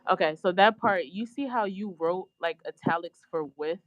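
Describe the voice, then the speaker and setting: A woman's voice: fairly low pitch, normal speed, no echo. Dry, muffled-sounding woman chatting casually. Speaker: actress rehearsing an audio play. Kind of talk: casual chat. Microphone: phone. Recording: dull lo-fi phone connection.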